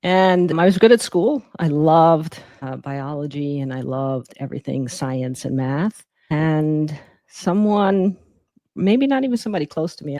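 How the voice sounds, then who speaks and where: A middle-aged woman from Guyana who grew up in New York, telling her personal story reflectively as an interview guest, with thoughtful, deliberate phrasing. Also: sits low, mellow, no room sound. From born in Guyana, raised in the Bronx from age seven. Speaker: interview guest, emergency physician.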